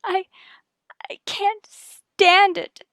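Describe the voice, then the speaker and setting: A woman's voice: very high voice, fairly even intonation, drawn-out words, fairly bright tone, no echo, studio quality, full character voice. A woman playing a troubled, guarded wife and mother in an old-time radio soap, restrained and evasive in manner. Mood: shaken, anxious.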